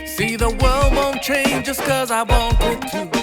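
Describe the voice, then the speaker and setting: A woman sings an upbeat song in a higher register. Her phrasing is slow and drawn out, and loud African pop instrumentation surrounds her. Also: steady tone. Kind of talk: singing an upbeat campaign song. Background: loud African pop music.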